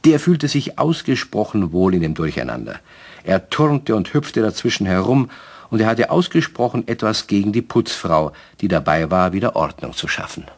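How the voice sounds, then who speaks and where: A man narrating a children's radio play as a calm storyteller who speaks directly to young listeners. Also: lowish voice, moderate pace. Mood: calm, explaining.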